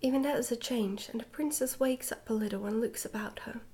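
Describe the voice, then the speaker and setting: A woman reading a children's storybook in a hushed, breathy whisper, gently and carefully, in ASMR style. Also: on the high side, brisk. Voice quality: whispery, breathy, soft-spoken. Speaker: ASMR book reader.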